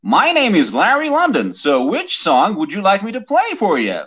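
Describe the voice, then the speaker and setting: A man's voice: high-pitched, wildly swooping pitch, normal speed, fairly bright tone, slightly rough, voice pushed up, exaggerated voice. A man playing a brash male radio DJ with an exaggerated announcer delivery, heard with dull lo-fi sound.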